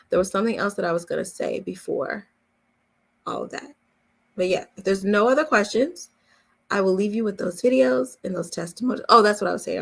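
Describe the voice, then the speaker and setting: Female workshop host and coach, heavy vocal fry, middling pitch, chatty and informal, talking straight to attendees. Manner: chatty, informal, addressing the group directly. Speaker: voice-over coach hosting an online workshop. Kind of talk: casual live chat with attendees.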